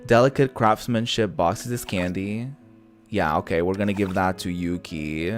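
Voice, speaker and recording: A man's voice: low-pitched, moderate pace, faint music behind. A man giving casual, chatty let's-play commentary in a playful, campy manner, reading bits of dialogue aloud. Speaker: video game streamer.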